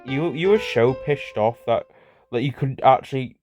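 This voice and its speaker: A man's voice: mid-range voice, moderate pace, darkish tone, highs cut off, soft background music, natural voice. A man voicing a bickering, sweary cartoon buddy who reminisces in a rambling, repetitive, conversational way. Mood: bickering, recounting. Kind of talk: casual improvised-sounding cartoon dialogue.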